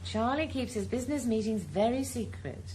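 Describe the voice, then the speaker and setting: A woman's voice: fairly high pitch, animated, normal speed, dark tone, a little hiss or hum, natural voice. A woman playing a hesitant, worried wife. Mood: uneasy. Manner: hesitant, faltering. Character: anxious, hesitant married woman client. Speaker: client seeking a private detective. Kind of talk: 1980s radio drama acting.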